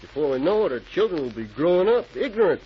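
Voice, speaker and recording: Old time radio, earnest folksy frontier man, slightly high voice, muffled n hissy. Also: sing-song, fast. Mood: earnest, insistent. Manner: folksy, emphatic. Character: earnest, determined frontier settler man rallying his neighbors. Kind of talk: 1940s radio acting.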